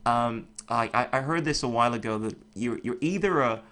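A man's voice: medium pitch, moderate pace, fairly bright tone. A man hosting a podcast, chatting casually in a rambling, slangy, informal way. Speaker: podcast host. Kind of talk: casual conversational interview.

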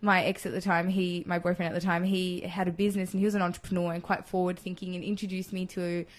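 A woman's voice: on the low side, little pitch movement, fast. Woman in her twenties, chatty and easygoing. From Chile. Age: 20s. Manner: thoughtful, filler-heavy, laughs easily. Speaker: guest. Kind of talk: casual interview chat.

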